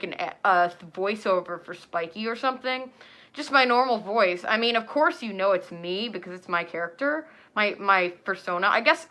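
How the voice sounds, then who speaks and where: Young woman livestreamer, bright and sharp tone, loose rambling chat with lots of fillers. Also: mid-range voice. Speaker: furry livestreamer.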